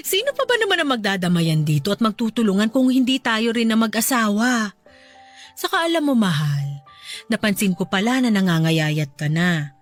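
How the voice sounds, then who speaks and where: An actress with a Filipino accent playing a loving, reassuring middle-aged farmer's wife in a radio drama, speaking in a soothing, affectionate way. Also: female voice, a little high, sing-song, moderate pace, long pauses, faint music behind. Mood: tender concern. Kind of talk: Tagalog radio drama acting.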